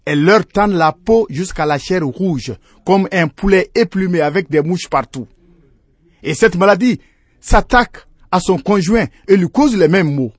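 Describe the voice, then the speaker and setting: A scheming female hyena from a folktale, acted out by a man. The voice sits fairly high and very dry. She flatters and gossips in exclamations, always insinuating. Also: unhurried, no echo. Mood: urgent gossip.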